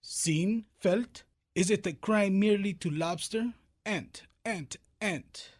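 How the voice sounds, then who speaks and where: An actor playing an eccentric surrealist painter in a comic radio play, dreamy and grandiose, speaking in cryptic, theatrical pronouncements. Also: male voice, high voice, slow.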